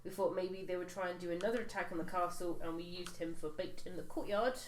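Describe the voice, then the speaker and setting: A woman's voice: fairly low pitch, steady tone, fast. Woman recapping a tabletop game, echoey. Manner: recaps with many fillers and restarts. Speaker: player recapping the campaign. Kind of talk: casual chat around a tabletop game. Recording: echoey room, faint noise.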